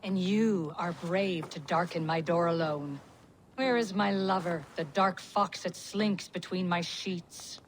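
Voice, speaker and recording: A woman's voice: lowish voice, takes it slowly, a little hiss or hum, poor audio. An actress playing a proud, sly Norse witch in a video game, sardonic and haughty. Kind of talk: video game cutscene dialogue.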